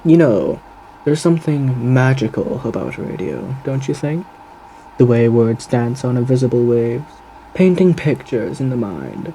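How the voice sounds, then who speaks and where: Suave gentleman radio demon, swooping intonation, mellow dark tone, theatrical, flirtatious, faintly menacing, audio roleplay. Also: mid-range voice, very animated, unhurried, a little hiss or hum, low-quality recording. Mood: amused, charming. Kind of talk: theatrical ASMR character roleplay monologue.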